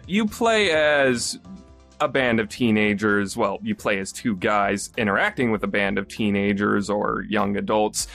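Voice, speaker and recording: A man giving a casual spoken review on a podcast, rambling with fillers and restarts and jumping up in pitch on stressed words. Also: fairly bright tone.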